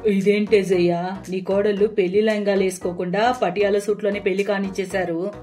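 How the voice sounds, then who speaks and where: A woman's voice: mid-range voice, level tone, brisk, warm tone, faint music behind, voice pushed down, natural voice. Woman acting a gossipy auntie, middle-aged, judgmental, indignant, scandalized, cartoon tale.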